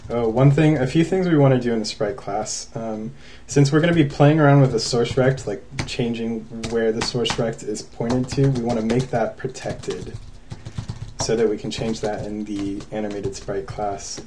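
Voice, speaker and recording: A man's voice: mid-range voice, fairly even intonation, moderate pace, noisy background. A man narrating a coding screencast in a casual, thinking-aloud way with many fillers. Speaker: programming tutorial host.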